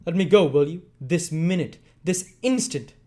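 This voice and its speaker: A desperate young woman pleading in a high, strained voice, as a man reads her lines. Her pitch leaps about wildly while she begs to be let go, in a dramatic storybook reading. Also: animated, a little fast, a little mellow, natural voice. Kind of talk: dramatic read-aloud.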